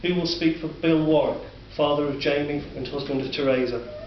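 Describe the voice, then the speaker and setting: A man with a Northern English accent narrating a dark story in a measured, sombre way. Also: a little high. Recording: distant, roomy, dull recording with faint hiss.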